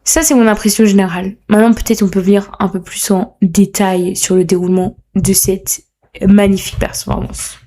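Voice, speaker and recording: A woman's voice: medium pitch, fairly even intonation, moderate pace, mellow. A young woman talks through her podcast alone from a room at home. She is chatty and playful, teases herself and gushes with enthusiasm. Her throat is a little sore. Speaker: podcast host. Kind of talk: casual solo podcast commentary.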